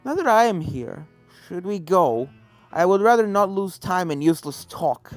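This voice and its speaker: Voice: medium pitch, very animated, moderate pace, no room sound, close to an everyday voice. A reader voicing a grumpy, envious older male unicorn in a fantasy story, curt and grumbling, over game music.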